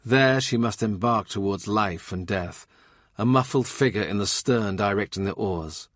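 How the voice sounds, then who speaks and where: A man narrating a historical novel as an audiobook, with measured, deliberate literary phrasing. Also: fairly low pitch, a bit bright, no echo, clean studio sound.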